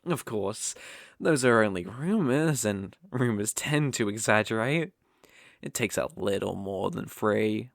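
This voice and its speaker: A possessive, sadistic dark elf slave master with a British accent , acted by a man, made for intimate ASMR roleplay. He speaks unhurriedly, with a gravelly creak and a close, dry sound. His manner is taunting and condescending, lingering over every threat. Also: on the low side, expressive, measured pace, no room sound. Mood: smug, taunting.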